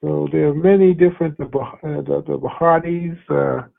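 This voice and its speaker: A man hosting a casual radio interview, talking haltingly with many fillers and restarts. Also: on the high side, fairly even intonation, creaky. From United States. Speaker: radio host. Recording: tinny phone-like radio audio.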